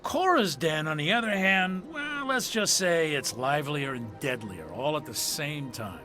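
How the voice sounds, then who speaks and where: Casting: gossipy, helpful sci-fi game attendant, animated and fairly high, music prominent behind. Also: male voice, measured pace. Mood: chatty, helpful. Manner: obliging, gossipy. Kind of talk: video game dialogue.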